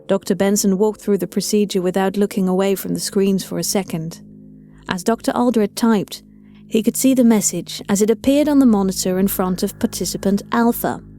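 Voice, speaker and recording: A woman's voice: mid-range voice, normal speed, dark tone. A woman reading scripted audio fiction as a story narrator, with music behind her.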